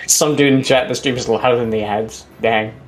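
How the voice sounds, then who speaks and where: Man, loud booming voice, rambling casual commentary, mid pitch, faint background music. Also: fairly even intonation, normal speed, rough recording. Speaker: speedrun commentator. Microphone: desk mic at forearm's length. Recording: loud voice, clipping mic.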